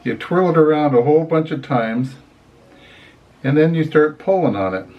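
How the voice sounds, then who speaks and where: A man's voice: fairly high pitch, animated, moderate pace, muffled recording. Older grandpa, folksy storytelling man. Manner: folksy, rambling, often saying "anyway". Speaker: grandfather reading picture books to children. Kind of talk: casual storytelling and chat to children.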